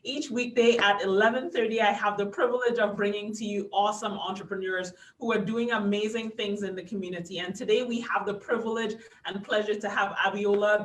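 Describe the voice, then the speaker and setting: A woman's voice: medium pitch, a bit bright, far from the mic, low-quality recording. A woman from Trinidad and Tobago hosting a business interview show, upbeat and full of praise for her guest. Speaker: podcast host. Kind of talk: friendly online interview.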